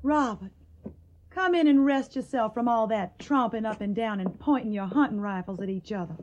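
Actress as high-voiced, earnest young Southern woman. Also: normal speed, darkish tone, natural voice. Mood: upset, tender. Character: young Tennessee woman in Civil War, tender, troubled, stubborn. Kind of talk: radio drama acting.

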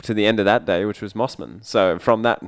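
Man, smooth low voice, relaxed rambling co-host. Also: a little fast, no room sound. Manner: easygoing, rambling storyteller. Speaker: podcast co-host. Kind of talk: casual chat.

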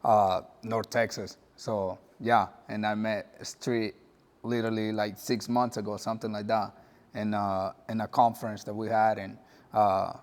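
A man with a Salvadoran Spanish accent speaks in a low, flat voice, pausing on soft fillers. His words trail into a long reverb, as if in a large hall. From Puerto Rico and El Salvador. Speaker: guest.

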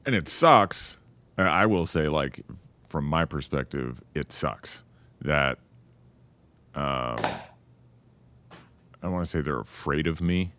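A man hosting a podcast, chatting in a relaxed, casual way and swearing freely. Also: very deep voice, vocal fry. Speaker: podcast host. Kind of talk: casual conversation.

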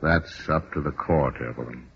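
An actor playing a stern, unhurried police interrogator with a flat official manner in a 1950s radio crime drama. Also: male voice, rumbling low. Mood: grim, flat. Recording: noisy, muffled old radio recording.

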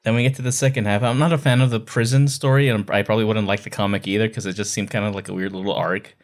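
A man's voice: fairly low pitch, steady tone, quick pace. A man co-hosting a book club podcast, chatting casually and giving his opinions with emphasis. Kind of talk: casual book discussion chat.

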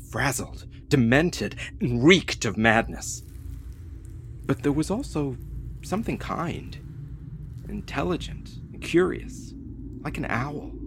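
A frightened young courier recounts his captivity in an audio drama. His delivery is solemn and deliberate, with big swings in pitch. Clear ambient noise sits behind the voice. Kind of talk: dramatic first-person narration in audio play.

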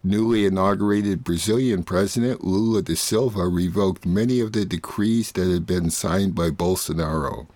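Deep-voiced male radio host in a dry sound. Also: normal speed, hardly any pauses, a little mellow, no room sound. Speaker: programme host. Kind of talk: scripted radio narration.

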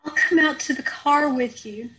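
A woman's voice: high-pitched, moderate pace, pushed and bright, a little hiss or hum, poor audio. A woman playing a tender, fretful mother of a grown son in an old-time radio drama, hesitant and pleading. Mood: worried, tearful.

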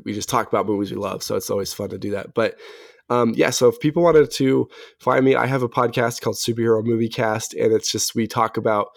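A man chatting casually as a podcast guest in a rambling, hesitant way, his voice a little raspy as he gets over an illness. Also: fairly low pitch, no room sound, studio quality. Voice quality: slightly raspy. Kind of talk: casual chat.

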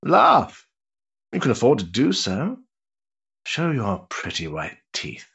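An actor playing a worldly older duke, an amused, teasing schemer with an urbane, roguish manner in a period stage drama. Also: male voice, medium pitch, slow, vocal fry, breathy edge, lo-fi sound, exaggerated voice. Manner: urbane, roguish, patronising flattery with chuckles.

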